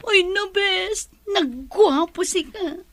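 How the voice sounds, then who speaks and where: An actress playing a giggly, teasing young best friend in a radio drama, playful and exclaiming. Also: female voice, very high voice, lively intonation, takes it slowly, fairly bright tone, dry recording, exaggerated voice. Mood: swooning. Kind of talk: Ilocano radio drama acting.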